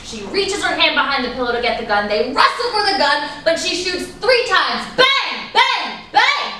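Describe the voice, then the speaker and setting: A woman's voice: high-pitched, very animated, normal speed, bright, forceful tone, full character voice. An actress playing a guarded, ambitious woman fending off an old flame in a staged reading of a drama, with dramatic, emphatic delivery. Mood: urgent, dramatic. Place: echoey indoor room at a staged reading. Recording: echoey room, distant mic, noisy.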